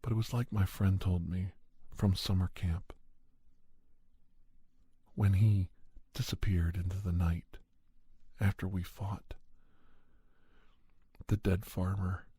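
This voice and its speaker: A man with a soft, breathy, raspy voice telling horror stories in a hushed, deliberate ASMR style, close to the microphone. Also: low-pitched, fairly even intonation, dry recording. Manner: slow, hushed, deliberate delivery. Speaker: podcast host and storyteller. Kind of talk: soft ASMR first-person horror storytelling.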